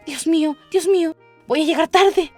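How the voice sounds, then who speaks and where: Fretful storybook rabbit, done by a woman, high-pitched, anxious, flustered, exclaiming. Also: moderate pace, a little mellow, soft background music. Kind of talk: storybook character voice.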